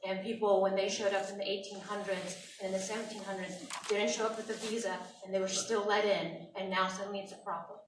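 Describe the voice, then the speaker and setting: A woman's voice: mid-range voice, flat delivery, fast talker, few pauses, background noise. A woman from South Africa, a native Afrikaans speaker, earnestly asking a question at a town hall. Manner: earnest, puzzled, pleading. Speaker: audience member asking a question. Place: large hall with audience. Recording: echoey hall from far off.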